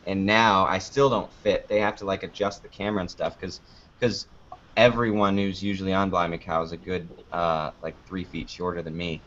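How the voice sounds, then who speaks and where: A man's voice: low voice, steady tone, moderate pace, fairly bright tone, a little hiss or hum. A man giving casual interview answers in a joking, anecdotal way, acting out little exchanges as he goes. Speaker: podcast producer and video creator being interviewed. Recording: dull lo-fi audio.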